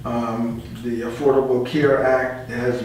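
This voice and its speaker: A man explaining things in conversation as a guest, halting and deliberate as he works through his points. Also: medium pitch, flat delivery, darkish tone. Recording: distant, very echoey room, faint noise.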